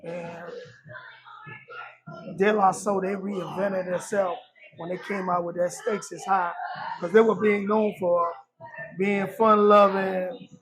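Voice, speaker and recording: A middle-aged man from Liberia speaks with a fairly high voice. He talks softly and haltingly, filling pauses as he answers. A crowd murmurs behind him. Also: little pitch movement, rough recording. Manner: soft-spoken, halting with many fillers. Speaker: rapper, guest. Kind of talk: casual interview.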